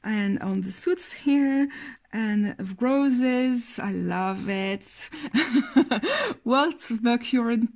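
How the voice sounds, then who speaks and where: A young woman with a Belgian French accent talks slowly through a tarot deck review in English, her first language being French. Her voice sits a little high and carries plenty of gravelly fry. She sounds tired, hesitates, and hunts for the right words. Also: a little mellow. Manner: hesitant, searching for English words, frequent laughs. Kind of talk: casual video review.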